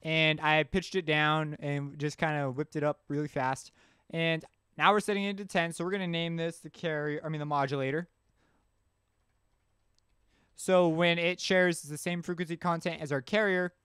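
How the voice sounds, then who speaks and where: Male tutorial host, slightly high, clean voice, informal think-aloud delivery. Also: a bit bright, clean tone, no echo, studio quality. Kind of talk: casual software tutorial narration. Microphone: close mic screencast voice-over.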